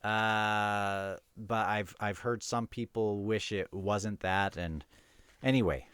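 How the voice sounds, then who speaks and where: A man's voice: on the low side, steady tone, takes it slowly, a bit bright, clear, resonant tone, no echo. A man casually talking through a book and reading bits aloud, informal and musing, sometimes stumbling over his words. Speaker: host of a book review show. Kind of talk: casual book discussion with read-aloud passages.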